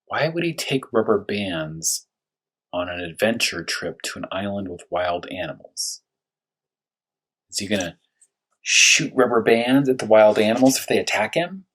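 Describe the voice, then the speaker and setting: A man who teaches first grade, talking through a read-aloud story for young children, thinking aloud with questions and slowing to stress key words. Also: lowish voice, animated, a bit bright, breathy edge, poor audio.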